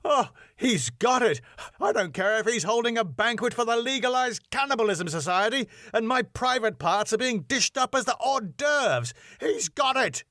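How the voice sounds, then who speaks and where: A man playing an excitable, theatrical club owner. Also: extremely high pitch, normal speed, dry recording, studio quality, voice pushed up, full character voice. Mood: indignant. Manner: flamboyant, exclamatory. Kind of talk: sitcom script reading.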